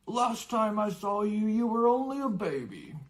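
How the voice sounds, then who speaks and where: A man performs an enormous, friendly giant gamekeeper for a storybook. He is gruff, blunt and hearty, booming well above an ordinary talking level in homely rustic speech. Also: very animated, normal speed. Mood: hearty, fond. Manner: booming, rustic colloquial talk. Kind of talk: storybook character voice.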